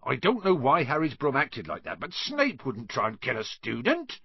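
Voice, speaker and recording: A man voicing a big, gruff older groundskeeper in an audiobook, rustic in speech, defensive and hot-tempered. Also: fairly high pitch, wildly swooping pitch, moderate pace, no room sound, muffled recording, pitched above the performer's own voice, full character voice. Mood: heated, insistent. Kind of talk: audiobook character voice.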